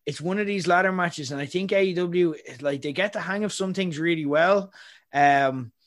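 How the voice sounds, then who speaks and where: Opinionated man, slightly high voice. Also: moderate pace, fairly bright tone. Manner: rambling, opinionated, frequent fillers. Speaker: podcast host. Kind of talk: casual chat.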